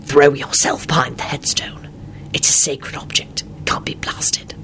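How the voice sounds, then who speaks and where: Man playing a hushed, coldly controlled wizard hero. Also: moderate pace, creaky, a little hiss or hum, close to an everyday voice. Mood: urgent whisper. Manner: low, hushed, flat, calm.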